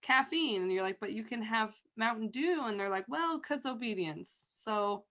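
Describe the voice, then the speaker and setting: A young woman hosting a casual livestream, chatty and giggly with a naturally loud voice and lots of fillers. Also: a little high, animated, moderate pace. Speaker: livestream host.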